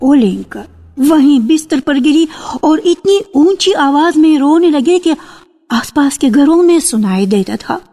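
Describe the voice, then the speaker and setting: Very slow, deliberate woman storyteller. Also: sits high, animated, mellow. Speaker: narrator of a radio dramatization. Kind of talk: scripted literary storytelling for radio. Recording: archival radio broadcast with faint music. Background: faint music.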